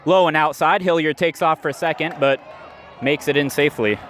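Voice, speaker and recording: American guy doing live baseball radio commentary outdoors, bit of crowd and background noise, normal mid pitch. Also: moderate pace. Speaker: baseball play-by-play announcer. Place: outdoor minor league ballpark. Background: stadium crowd and ambient noise.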